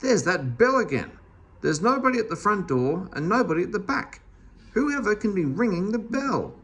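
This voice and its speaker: A man voicing a big, bossy storybook housewife, angry and astonished, scolding in sharp exclamations. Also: high voice, very animated, normal speed, breathy edge, voice pushed up, exaggerated voice. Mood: puzzled, annoyed.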